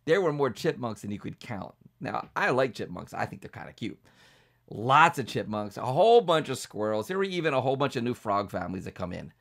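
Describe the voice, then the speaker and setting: Casting: male pastor reading bedtime tales to kids, very gravelly and breathy, dramatic pacing with repeated build-ups. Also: mid-range voice, very animated, a little fast. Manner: dramatic, repetitive build-up, hushed to emphatic. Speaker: pastor and storyteller. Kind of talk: children's bedtime storytelling.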